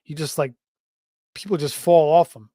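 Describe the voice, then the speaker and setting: Middle-aged male stream host, slightly raspy from a cold, rambly and casual, somewhat high voice, dry close mic. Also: steady tone, moderate pace, warm tone, dry recording. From Florida. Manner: rambling, tangents, frequent fillers and restarts. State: slightly hoarse, voice going raspy. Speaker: fantasy football show host. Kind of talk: casual live-stream sports talk.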